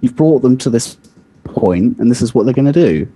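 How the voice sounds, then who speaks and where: A man's voice: medium pitch, expressive, normal speed, warm tone, husky. A man co-hosting a podcast in a casual debate about video games, insistent and contrarian in how he talks. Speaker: podcast co-host.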